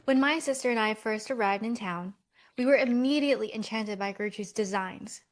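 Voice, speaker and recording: Slightly high female voice, practical skeptical twin played by a woman, romcom table read. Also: moderate pace, natural voice. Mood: earnest. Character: practical, doubting millennial twin sister in a romcom.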